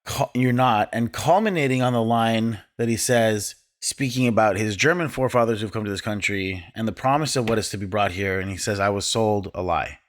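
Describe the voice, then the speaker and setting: A man with a somewhat low voice builds long, earnest arguments about a film. He speaks in a casual podcast setting and pushes his analysis forward. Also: a little fast, few pauses. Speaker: podcast co-host.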